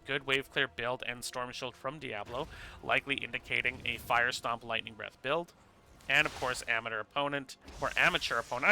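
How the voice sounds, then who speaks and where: Male esports caster, live play-by-play, very bright tense voice, slightly high, congested stuffy nose, energetic. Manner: energetic, rises to excited bursts during fights.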